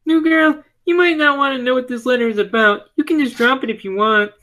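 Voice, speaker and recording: A man voicing a nervous, childish fat glutton with a loud, whiny, anxious manner in a comic story. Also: very high voice, brisk, pitched above the performer's own voice. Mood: hesitant.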